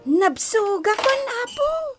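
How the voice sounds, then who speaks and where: Tiny, very high-pitched plaintive girl. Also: sing-song, slow, music in the background, exaggerated voice. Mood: timid, worried. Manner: whiny, pleading. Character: hungry, meek, plaintive little girl. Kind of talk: Ilocano radio drama acting.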